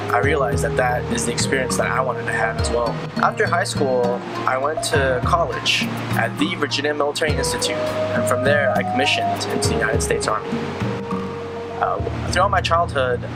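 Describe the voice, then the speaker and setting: Young male army officer from Taiwan, mid-pitched, thoughtful pacing with restarts, loud music bed underneath. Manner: measured, reflective, with pauses and restarts. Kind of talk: personal interview.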